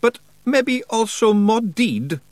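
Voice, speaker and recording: A tiny, boisterous fairy warrior chief, put on by a male narrator with a broad Scottish accent, pitched high and swooping wildly as he blusters cheerfully through a storybook reading. The voice sits close and dry, with no room around it. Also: sing-song, slow pace, dry recording, full character voice. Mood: blustering. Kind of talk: audiobook character voice.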